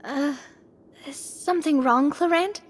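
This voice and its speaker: An actress playing a bright, curious young woman adventurer in a video game, breezy and expressive. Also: high-pitched, a little slow. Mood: concerned. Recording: game music behind the voice.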